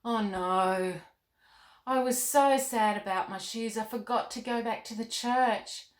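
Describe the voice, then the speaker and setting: A woman reading the part of an earnest, questioning nine-year-old girl in a children's storybook, with an expressive manner. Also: a little high, measured pace, close to an everyday voice. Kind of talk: storybook read-aloud. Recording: roomy, echoing indoor sound.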